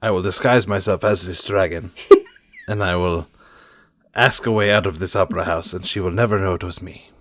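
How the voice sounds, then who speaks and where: A man's voice: low-pitched, steady tone, normal speed, fairly bright tone. A man playing a lovesick young nobleman who poses as a humble dragon, speaking in a grandiose, courtly and melodramatic way in a tabletop game. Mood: heartbroken, scheming.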